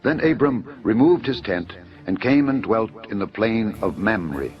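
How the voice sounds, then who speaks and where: Deep-voiced man solemnly narrating scripture. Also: expressive, a little slow. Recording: dull lo-fi audio under music. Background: background music.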